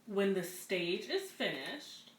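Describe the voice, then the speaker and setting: A woman hosts a read-aloud story time for children in a medium-pitched voice. She moves between reading picture books and casual introductions. The room is noticeably echoey, with some faint background noise. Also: very animated, slow. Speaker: community center story-time host. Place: echoey room at a community center.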